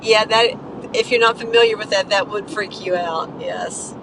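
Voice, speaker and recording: Woman, hoarse, gravelly, middle-aged, casual live-stream chat, driving, loud car rumble. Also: fairly even intonation, normal speed. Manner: chatty, coughing between remarks. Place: inside a moving car. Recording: road noise from a moving car.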